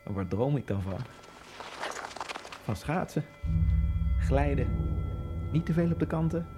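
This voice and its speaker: An actor voices a man recalling his new life in a brooding, dreamy inner monologue, now and then bursting out. His pitch sits in a middle register. Music plays clearly beneath the voice. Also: animated, measured pace. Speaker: Egyptian immigrant narrator. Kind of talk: radio drama monologue.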